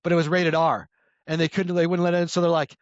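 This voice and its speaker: Raspy guy in his 50s, talks super fast, keeps restarting his sentences, kinda muffled audio. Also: a little high, little pitch movement, no room sound. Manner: rambling, many restarts and self-corrections. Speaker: podcast guest. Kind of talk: casual storytelling chat.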